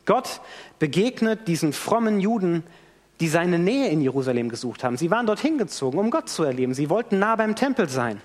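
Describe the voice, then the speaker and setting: A man with a fairly high voice gives a sermon in a church. His pitch rises and falls a lot as he tells the story and acts out the quotes. A long reverb hangs behind him in the hall. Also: fairly quick. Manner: animated storytelling with acted-out quotes. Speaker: preacher.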